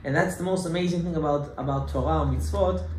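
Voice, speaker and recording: A man giving an animated Torah class as a rabbi, emphatic and full of rhetorical questions, mixing Hebrew terms into his English. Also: a little high, fast. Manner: emphatic, rhetorical questions, acts out objections loudly. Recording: echoey room with faint background noise.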